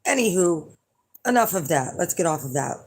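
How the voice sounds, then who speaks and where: A hoarse middle-aged woman chatting casually to her viewers as an astrology reader, rambling and blunt with lots of fillers. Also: fairly low pitch, sing-song, moderate pace. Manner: rambling and blunt, with frequent fillers and some swearing. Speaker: astrology reader and channel host.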